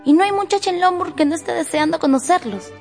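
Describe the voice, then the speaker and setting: Casting: eager, gossipy girlish sister, high mellow voice, period radio drama over music. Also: fast, creaky. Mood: excited. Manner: chatty, eager. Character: gossipy, excitable young sister in period romance. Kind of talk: Spanish-language radio drama acting.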